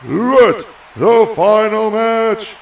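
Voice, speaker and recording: Man playing a slow, grandiose ring announcer, far off. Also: high-pitched, full character voice. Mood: rousing. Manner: drawn-out, showy calls.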